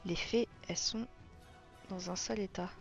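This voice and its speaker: A woman's voice: mid-range voice, steady tone, normal speed, creaky. A woman giving casual, enthusiastic live commentary, exclaiming in awe as she reviews something. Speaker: host of a colouring book flip-through. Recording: muffled sound with music underneath. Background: music.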